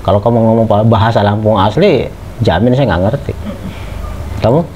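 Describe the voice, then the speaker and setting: A middle-aged lecturer talks to his students in a low, easygoing voice, pausing on frequent fillers and turning to ask them questions. The recording sounds muffled, with a clear wash of background noise under him. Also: mellow. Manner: conversational, many hesitant fillers, asks students questions.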